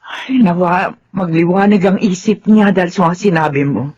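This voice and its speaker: A woman playing a patient, understanding, wise mother in a radio drama, with a husky voice. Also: fairly low pitch, normal speed, warm tone. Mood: gentle counsel. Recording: dull lo-fi sound with music.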